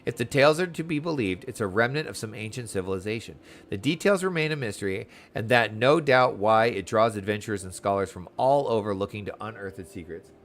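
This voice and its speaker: A man's voice: on the low side, lively intonation, a little fast, few pauses, soft background music. A man voicing a genial, curious male official in a fantasy game, chatty and old-fashioned in his wording. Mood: intrigued, genial.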